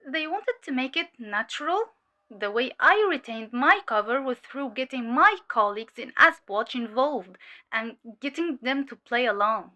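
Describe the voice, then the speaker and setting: A woman playing a weary, sharp undercover cult infiltrator giving hesitant, measured testimony in an audio drama. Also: high voice, lively intonation, full character voice. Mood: matter-of-fact.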